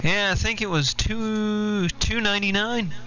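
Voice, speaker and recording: A man's voice: high voice, fairly even intonation, unhurried. A man chatting casually as an operator over CB radio. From United States. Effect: CB radio transmission. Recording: muffled, noisy CB radio audio with clicks.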